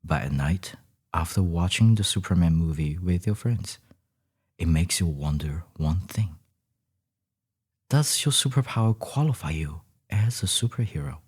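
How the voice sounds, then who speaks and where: A man with a very low voice narrates a relaxing second-person story in an ASMR style. His tone is husky and breathy, sometimes sinking almost into a whisper. He phrases things gently and deliberately, leaving pauses between short phrases. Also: animated.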